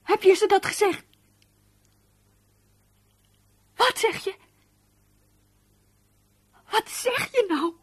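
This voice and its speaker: A shaken, fretful wife in a radio drama. Her voice is high and fearful, pleading with the man she loves. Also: slow. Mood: desperate. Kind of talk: Dutch radio play acting.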